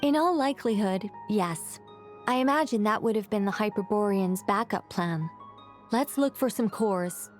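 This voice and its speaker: A woman voicing a composed, wise character in a video game, recounting lore in a calm, measured way. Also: mid-range voice, soft background music, lightly acted. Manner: calm, measured, solemn storytelling. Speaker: story character explaining lore.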